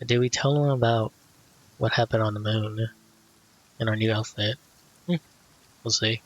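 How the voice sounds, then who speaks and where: Man doing low-key gaming commentary, dull mic. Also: steady tone, normal speed. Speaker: gaming video creator. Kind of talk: casual let's play commentary. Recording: dull lo-fi mic, faint game music.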